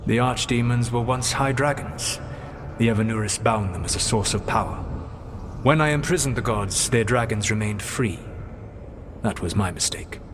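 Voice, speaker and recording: Ancient elven male mage for a fantasy game, played by a man: lowish pitch, grave formal delivery, clearly noisy backdrop. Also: natural voice. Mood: regretful. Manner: measured, formal, solemn. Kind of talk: scripted fantasy game dialogue.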